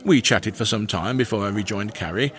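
A man playing a fussy, self-important Victorian English gentleman, narrating his comic diary with precise, earnest pomposity. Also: lowish voice, brisk, close to an everyday voice.

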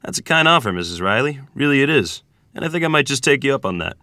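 A man's voice: medium pitch, very animated, fast, pushed and bright, no room sound, clean studio sound. A man playing a rugged, easygoing ferryman of thirty-five, folksy and laconic, at a table read of a period drama. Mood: wry, courteous.

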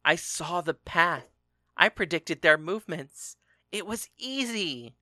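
A man's voice: fairly high pitch, expressive, takes it slowly, bright, forceful tone, no room sound, clean studio sound, pitched above the performer's own voice, full character voice. Man reading a defiant young girl, brave and stubborn, with a raised voice. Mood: insistent. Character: fierce ten-year-old girl, stubborn fighter.

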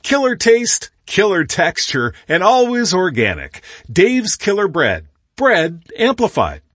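A man delivers a scripted commercial voice-over. His voice is raspy and very lively in pitch, recorded dry. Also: slow pace, no echo. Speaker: advertisement announcer.

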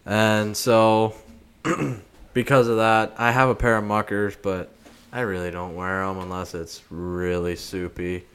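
A man with a fairly low, smooth and resonant voice. He co-hosts a podcast and chats about gear in a relaxed, laid-back way. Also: a little slow. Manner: laid-back, brief remarks. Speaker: podcast co-host. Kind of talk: casual gear talk.

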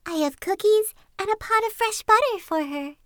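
Innocent little storybook girl, polite, extremely high voice. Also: expressive, normal speed, fairly bright tone, dry recording, clean studio sound. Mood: innocent, cheerful. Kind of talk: storybook character voice.

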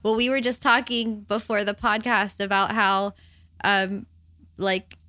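American woman, podcast host, bright tense voice, dry close sound, friendly and chatty. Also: mid-range voice, level tone, unhurried, dry recording. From United States. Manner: friendly, thinks aloud with fillers. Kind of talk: casual interview chat.

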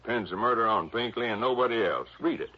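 An actor playing a folksy, stubborn small-town police chief with an American accent in an old radio drama. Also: male voice, fairly low pitch, lively intonation, natural voice. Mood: insistent. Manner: folksy, halting, insistent. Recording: muffled, noisy vintage radio broadcast.

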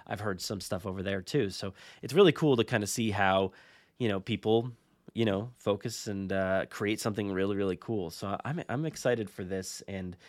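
Male podcast host, low voice, dry close sound, informal and rambling, keen on the subject. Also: moderate pace, no echo. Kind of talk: casual podcast chat.

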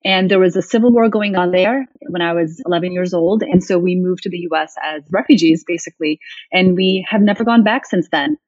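A woman's voice: lowish voice, brisk, darkish tone, breathy edge, dry recording. A woman born in Africa, speaking English as a second language, as a friendly podcast guest. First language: not English. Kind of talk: remote interview. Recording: dull, muffled remote audio.

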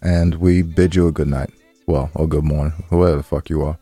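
A man's voice: very deep voice, fairly even intonation, a little fast, mellow, breathy edge, dry recording, soft background music. A man co-hosting a podcast, telling stories casually in slangy speech and repeating phrases for emphasis. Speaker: podcast co-host. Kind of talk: casual banter and storytelling.

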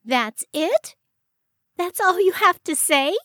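A woman voicing a furious, dramatic young aunt in an audiobook, shrill, wailing and indignant. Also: lively intonation, takes it slowly, sharp tone, dry recording, studio quality, heavily acted.

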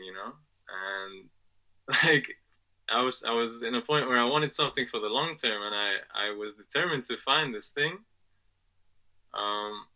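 Young guy in his 20s, podcast guest, lots of ums and restarts, bright sharp tone, kinda dull lo-fi audio. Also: fairly low pitch. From Afghanistan, grew up in England. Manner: hesitant, many fillers and restarts, laughs often. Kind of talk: casual interview answers.

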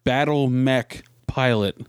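A man's voice: medium pitch, slow pace, clear, resonant tone, no room sound, clean studio sound. A man, middle-aged, co-hosting a casual podcast with playful, exclamatory reactions. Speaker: podcast co-host. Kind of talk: casual chat.

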